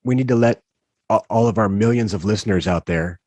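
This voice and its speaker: A man's voice: fairly low pitch, a little fast, darkish tone, dry recording. Rambling male podcast host, dry sound. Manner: rambling, many restarts and fillers. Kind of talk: casual interview chat.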